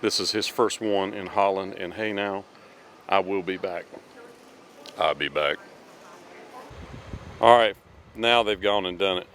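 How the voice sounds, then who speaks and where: Middle-aged male travel vlogger, lowish voice, friendly rambling tone, camera mic outdoors with clear traffic noise behind. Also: a little slow, long pauses, fairly bright tone. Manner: chatty, addresses viewers as friends. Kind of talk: casual walking-tour vlog. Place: outdoors in a city square. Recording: noisy outdoor street recording.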